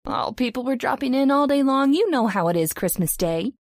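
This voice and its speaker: An actress playing a chatty, teasing and forthright young hospital receptionist in an old-time radio drama. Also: female voice, sits high, expressive, brisk, no room sound, studio quality. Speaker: voice actor.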